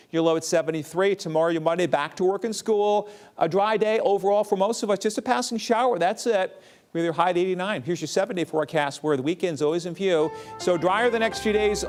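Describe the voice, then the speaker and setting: A man presenting a TV weather forecast in an emphatic, sing-song presenter delivery. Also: fairly high pitch.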